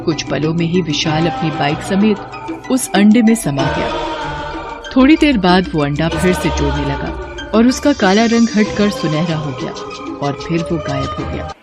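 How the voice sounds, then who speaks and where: A woman's voice: low voice, expressive, moderate pace, over music. A woman narrating a scripted horror story for children as a storyteller.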